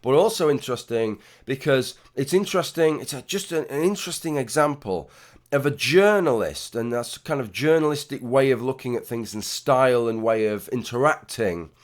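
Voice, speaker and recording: A man, hoarse and gravelly after a late night, talking through casual vlog commentary with blunt swearing and many restarts. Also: medium pitch, lively intonation, moderate pace. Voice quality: gravelly, husky. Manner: rambling, frequent restarts, blunt swearing, wry asides. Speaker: YouTube commentator.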